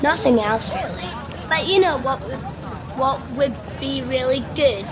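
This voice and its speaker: An amateur actress with a Scottish accent playing a cheeky, daydreaming schoolboy in a radio play, eager and boastful. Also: female voice, sits high, expressive, a little slow, a crowd in the background. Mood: dreamy, earnest. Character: cheeky, daydreaming schoolboy, butcher's son under sixteen. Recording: noisy remote home recording.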